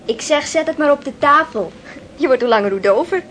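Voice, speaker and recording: A woman playing a well-off young lady in a radio play, brisk, bossy and chatty but kindly. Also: sits high, expressive. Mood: brisk, chiding. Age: young adult. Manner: chatty, chiding. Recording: noisy old radio play recording.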